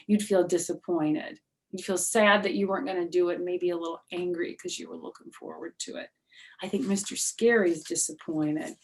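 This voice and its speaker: A woman's voice: fairly low pitch, vocal fry. A woman reading a children's book aloud expressively as a storyteller, with explanatory asides.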